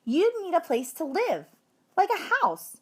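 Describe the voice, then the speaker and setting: An eager young schoolchild from a storybook, voiced by a woman blurts out answers in class. The voice jumps up high and swings about with excitement. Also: unhurried, full character voice.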